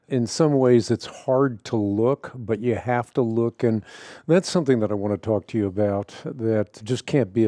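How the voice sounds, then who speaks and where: A male radio host from the Netherlands, a native Dutch speaker, interviews a guest earnestly and with measured emphasis. His voice is mellow and warm, and the recording is dry and close. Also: fairly low pitch, no room sound.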